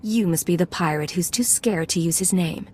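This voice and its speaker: An actress playing a cool, poised young female imperial agent in a video game, crisp and controlled. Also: on the low side, normal speed, dark tone, slight background noise, close to an everyday voice. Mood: defiant.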